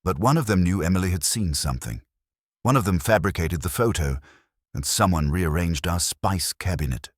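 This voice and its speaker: A man's voice: deep voice, lively intonation, moderate pace, slightly rough, no room sound, studio quality. A man voicing an imperious, cerebral master detective with a formal, clipped way of pronouncing in an audiobook story. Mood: grave, deliberate.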